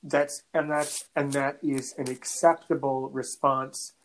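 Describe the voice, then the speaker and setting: A man from North America hosting a podcast interview, speaking in a halting way and often repeating words before finishing a thought. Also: medium pitch, flat delivery, a little hiss or hum, rough recording. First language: English; French is his second language. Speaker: podcast host. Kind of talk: conversational interview.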